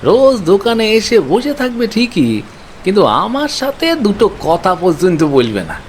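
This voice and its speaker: A man's voice: sits high, very animated, normal speed, slight background noise. A man voicing a talkative, grumbling village tea-shop owner who speaks deferentially to customers. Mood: chatty. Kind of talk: animated horror story acting.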